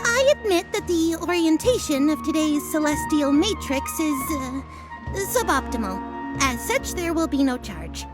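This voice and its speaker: A theatrical fortune teller who takes her readings very seriously. She speaks slowly and grandly in a high, lively voice, with game music behind her. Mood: flustered. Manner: theatrical, pompous. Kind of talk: video game voice acting.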